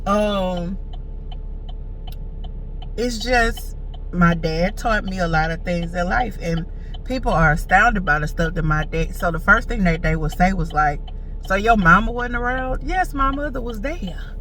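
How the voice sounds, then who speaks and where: A woman's voice: medium pitch, animated, a little slow, pushed and bright, recorded from a distance. Sassy woman in her forties. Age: 40s. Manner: chatty, sassy, talks straight to viewers. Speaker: vlogger. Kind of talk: casual storytelling chat. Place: in a car.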